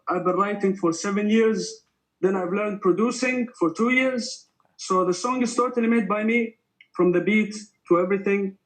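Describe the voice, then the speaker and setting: Young male rapper from Syria, casual and relaxed, high voice, video call. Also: low-quality recording. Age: 20s. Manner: relaxed, fills with "like" and "you know". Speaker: contestant rapper. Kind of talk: casual chat.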